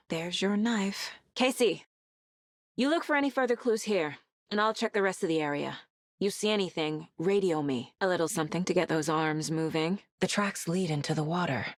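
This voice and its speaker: A woman playing a determined, sharp investigator in a horror video game, measured and introspective, often as if talking to herself. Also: on the low side, no room sound, studio quality. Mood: brisk, purposeful. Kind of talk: cinematic video game acting, naturalistic.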